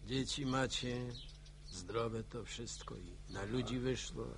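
An actor playing a plain-spoken, steady middle-aged village war veteran with a Polish accent in a radio drama. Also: male voice, medium pitch, slow pace, crowd noise. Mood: consoling.

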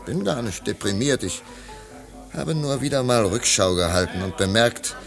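An actor in a German radio play voicing a disillusioned, wistful male artist who talks in a theatrical, halting way. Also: medium pitch, gravelly, soft background music, lightly acted. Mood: resigned, ironic.

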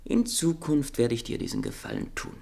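An actor playing a proud, brooding intellectual and irritable lover in a German radio play, dry, clipped and sardonic, with a gravelly voice. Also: male voice, mid-range voice, wildly swooping pitch, normal speed, a little mellow, full character voice. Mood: sardonic, defensive.

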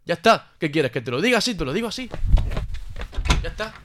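Actor playing a harried, defensive Spanish boyfriend, bright voice. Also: male voice, high voice, very animated, quick pace. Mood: angry, defensive. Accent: Spain. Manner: agitated, repetitive, colloquial and blunt. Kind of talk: naturalistic audio drama acting, colloquial and sweary.

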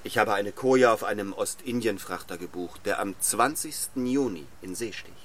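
A man's voice: fairly low pitch, moderate pace, fairly bright tone, slight background noise, natural voice. An actor playing a stern, cold, domineering clergyman in a radio drama, speaking in a solemn, controlled, commanding way. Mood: solemn, insistent. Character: St. John Rivers, stern, cold, domineering young clergyman missionary. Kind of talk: literary radio drama dialogue.

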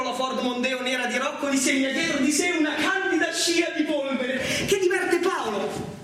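A man's voice: very high voice, a bit bright. A man narrating a theatrical monologue in a dramatic, emphatic manner. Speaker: stage actor and narrator. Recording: very echoey theatre, distant mic, faint noise.

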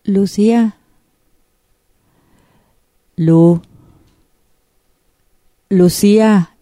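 A woman's voice: medium pitch, extremely slow, warm tone, no echo. A woman acting an anxious, needy, slightly touchy woman in an amateur radio drama, pleading.

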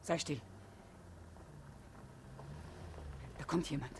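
An actress playing a guarded, stern party comrade in a German radio drama, husky and curt in her commands. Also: deep voice, fast talker, noisy background, natural voice. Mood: tense, curt. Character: guarded, stern woman party comrade, loyal but torn.

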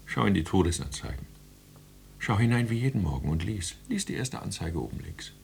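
A deep, gravelly male voice swoops theatrically through mock-solemn musings. He is Death as a wry, chatty radio play host, rambling cosily as he addresses his listeners. A faint noise sits behind him. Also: big pitch swings, brisk, exaggerated voice. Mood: commanding. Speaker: narrator. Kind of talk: radio drama narration with direct address.

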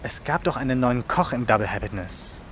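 A man acting as a brooding police investigator in a radio crime drama. His mid-pitched male voice is earnest and thoughtful, quietly insistent, over faint background noise. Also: lively intonation, brisk, close to an everyday voice. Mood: earnest, careful.

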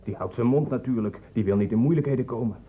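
A man plays a curt, defiant young man in a radio play. The delivery is rapid and challenging, the voice slightly high and husky, the recording narrow like a phone line. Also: lightly acted. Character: defiant, cocky young man protecting a friend. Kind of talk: Dutch radio drama acting.

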